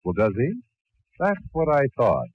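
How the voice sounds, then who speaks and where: A man's voice: mid-range voice, huge pitch swings, unhurried, highs cut off. A man announcing a radio comedy from a script in 1940s style. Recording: old 1940s radio recording.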